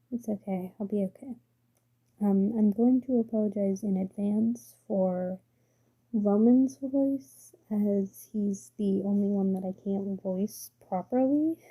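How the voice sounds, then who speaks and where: A woman's voice: mid-range voice, takes it slowly, dark tone, husky, rough recording. A woman with a strained, failing voice reading a story aloud carefully, with small slips. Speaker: story reader.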